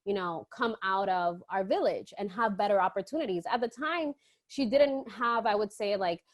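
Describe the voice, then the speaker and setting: A woman's voice: mid-range voice, moderate pace. A woman from the Dominican Republic chatting as an interview guest, telling stories casually and quoting people as she goes. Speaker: podcast guest, entrepreneur.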